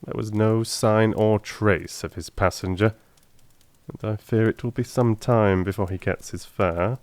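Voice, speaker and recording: A man playing a sharp, confident, excitable male detective in theatrical audiobook style, emphatic and commanding. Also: lowish voice, a little slow, dry recording. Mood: amused, animated recounting. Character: sharp, confident male detective, excitable, self-assured, vexed at being fooled. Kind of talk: theatrical dramatised audiobook acting.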